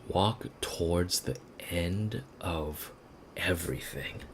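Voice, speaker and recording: Male game streamer, very low voice, slangy and rambling, casual live commentary over noticeable background noise. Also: slow. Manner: slangy, rambling with stammered repeats.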